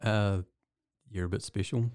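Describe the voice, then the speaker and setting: A man's voice: lowish voice, fairly even intonation, measured pace, darkish tone, clean tone, no echo, clean studio sound. A man with a New Zealand accent chatting casually as an interview guest. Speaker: guest, visiting artist.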